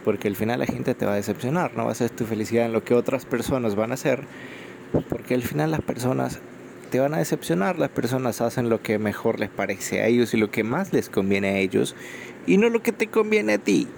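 A man's voice: mid-range voice, lively intonation, brisk, gravelly, noisy background. A Salvadoran man with a Salvadoran Spanish accent hosting an unscripted solo podcast, rambling with frequent fillers, recorded on a phone microphone. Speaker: podcast host.

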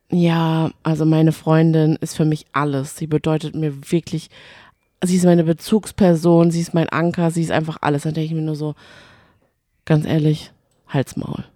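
A woman's voice: low voice, steady tone, normal speed, warm tone, no room sound. Emphatic woman podcaster, close dry sound. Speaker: podcast co-host. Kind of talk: casual reality-TV recap chat.

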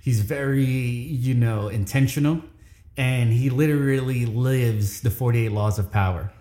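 Relaxed male podcast host, average pitch, loose repetitive delivery, roomy echo. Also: unhurried. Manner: laid-back, repeats words, says "all right" and "man" a lot. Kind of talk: casual interview chat.

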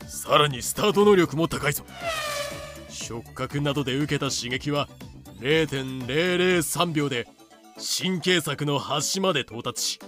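A man's voice: fairly high pitch, unhurried, fairly bright tone, faint music behind. A man voicing a confident, matter-of-fact cockroach, explaining in casual masculine phrasing for a fan-made voice drama. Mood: confident, explanatory.

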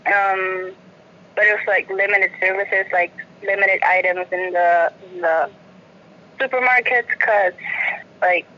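Bright-voiced Puerto Rican woman, slightly lo-fi. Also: mid-range voice, fairly even intonation, takes it slowly. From Puerto Rico. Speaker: interview guest. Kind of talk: conversational personal storytelling.

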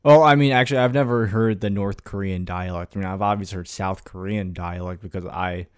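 A man's voice: low-pitched, steady tone, fairly quick, smooth. A man co-hosting a podcast, chatting casually and asking curious questions, often apologizing as he cuts in. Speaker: podcast co-host. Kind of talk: casual chat.

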